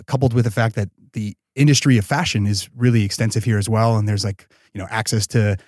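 A man's voice: lowish voice, darkish tone, no echo, studio quality. A man speaking as a startup founder and podcast guest, in casual, enthusiastic, slangy talk peppered with "like" and "you know.".

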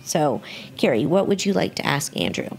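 A woman's voice: low voice, very animated, normal speed, vocal fry, darkish tone. A woman hosting a podcast in a conversational interview style. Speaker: podcast host.

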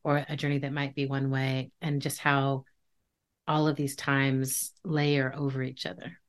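A woman's voice: a bass voice, flat delivery, a little slow. A woman hosting a podcast interview, asking questions and often affirming her guest. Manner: warm, affirming listener with frequent small agreements. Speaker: podcast host. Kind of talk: conversational interview.

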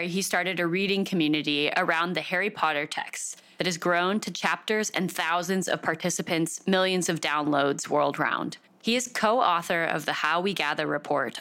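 A woman hosting a podcast with a lowish voice. She reads her intro in an upbeat way and reacts to her guest with quick laughs and gasps. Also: a bit bright. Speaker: podcast host. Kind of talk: podcast interview with scripted intro.

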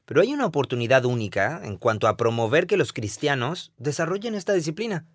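A man's voice: a little high, sing-song, quick pace, no echo. A man chats in a casual conversation, his voice rising and falling a lot as he talks. He sounds upbeat and encouraging and laughs easily. The recording is dry and close to the mic. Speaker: podcast co-host.

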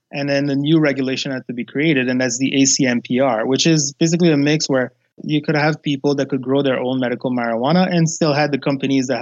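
A man's voice: mid-range voice, no echo. A man with a Quebec French accent, a guest, explaining things step by step. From Haiti, raised in Montreal. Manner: explains step by step, slight grammar slips. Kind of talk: explanatory interview talk. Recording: dull lo-fi dry sound.

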